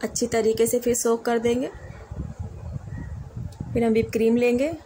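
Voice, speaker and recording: A woman talks through a home cake recipe in a rather high, flat and even voice, moving calmly from one step to the next. She is recorded on a camera over a kitchen counter, and steady room noise sits clearly behind her. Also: moderate pace. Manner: calm step-by-step instructions. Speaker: home baker. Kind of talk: cooking tutorial narration.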